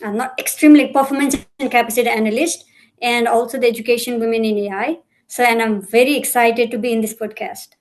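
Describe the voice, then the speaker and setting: A woman's voice: on the high side, steady tone, quick pace. A young woman from Nepal, a podcast guest, answering in a hesitant way with many fillers. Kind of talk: casual interview answers.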